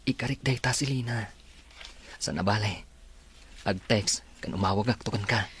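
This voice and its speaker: A man's voice: on the low side, normal speed, a bit bright, slight background noise, natural voice. A man with an Ilocano accent acting an earnest, resigned farm worker and devoted sweetheart in a radio drama. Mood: tender, sad.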